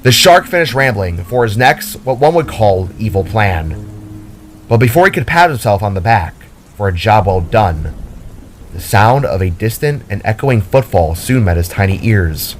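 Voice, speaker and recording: A man's voice: on the low side, sing-song, moderate pace. A man reading scripted fiction as a dramatic story narrator.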